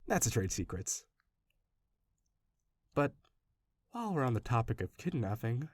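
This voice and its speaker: Man playing a doting lovestruck kidnapper, mid-pitched, affectionate rambling delivery, obsessive, awkwardly gentle, audio roleplay, dry close recording. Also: no echo. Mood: tender, coaxing. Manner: gushing, rambling, affectionate, self-interrupting. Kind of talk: audio roleplay addressed directly to the listener.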